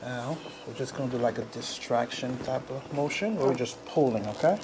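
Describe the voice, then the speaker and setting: A man working as a chiropractor, giving a client calm, short, repeated instructions in a soft-spoken way. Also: mid-range voice, normal speed. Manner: calm, repetitive short cues. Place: small indoor treatment room. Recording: noisy room ambience.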